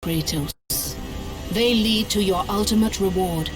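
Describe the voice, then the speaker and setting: A woman playing a solemn, regal goddess in a video game, measured, stately and authoritative. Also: medium pitch, music in the background, lightly acted. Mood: solemn, commanding. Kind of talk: dramatic video game voice acting.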